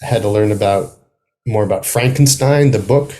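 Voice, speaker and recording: Man talking thoughtfully in halting phrases. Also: fairly low pitch, mellow, poor audio. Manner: halting, thoughtful, trailing off mid-sentence. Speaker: playwright, interview guest. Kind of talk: casual conversational interview. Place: remote video call.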